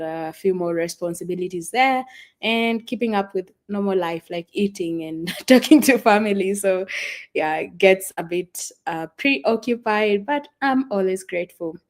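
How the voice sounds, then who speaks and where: A young Kenyan woman with a Kenyan accent, whose first language is Swahili, chatting casually and rambling with fillers and soft laughs as she hosts a travel livestream from a friend's house. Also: medium pitch. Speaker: travel vlogger hosting a livestream. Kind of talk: casual livestream chat with viewers.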